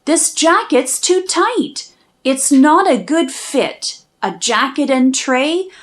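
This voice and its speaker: A high-voiced woman narrates a children's picture book in verse. Her pitch swings widely and she takes her time over the lines. She leans into key words with expressive, dramatic emphasis. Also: slow pace. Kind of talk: storybook read-aloud, rhyming verse.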